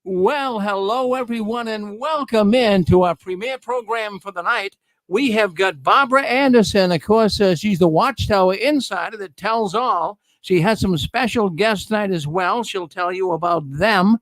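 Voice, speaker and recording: A man's voice: sits high, moderate pace, fairly bright tone, dry recording, low-quality recording. A man hosting a live online show, welcoming viewers in an upbeat, repetitive announcer manner. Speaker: show host.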